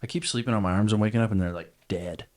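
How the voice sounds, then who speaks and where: Young male podcast co-host, quick low voice, crude casual banter. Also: darkish tone. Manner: loose, swearing, joking. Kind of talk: casual chat.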